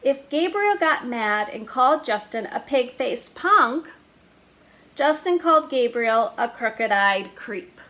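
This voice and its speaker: A woman storyteller reading a children's picture book aloud in a slow, deliberate way. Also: fairly high pitch, expressive, a bit bright.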